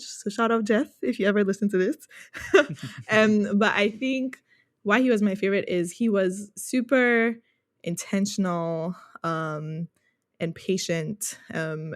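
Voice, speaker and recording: A woman from Ghana with a fairly low voice, talking as an interview guest. She answers conversationally and reflectively, thinking aloud as she goes, in a very dry recording. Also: dry recording. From Ghana, grew up in Accra. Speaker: podcast guest, user experience researcher.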